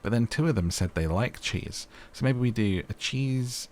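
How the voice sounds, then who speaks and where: A man's voice: mid-range voice, normal speed, a little hiss or hum. A man giving casual gameplay commentary, playful and chatty as he improvises. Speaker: gaming video host.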